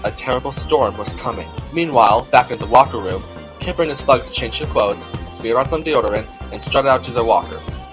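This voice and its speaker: Man, mid-pitched voice, storybook narrator, scripted reading, music behind. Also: brisk.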